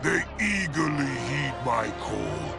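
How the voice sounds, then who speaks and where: A haughty, menacing warlord from a video game, hungry for a throne. His voice is thick with gravel and slow, commanding every phrase over loud, swelling music. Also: mid-range voice, big pitch swings, heavily acted. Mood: scheming, contemptuous. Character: defiant, power-hungry male rebel warlord. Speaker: game villain narrator. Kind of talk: dramatic scripted narration.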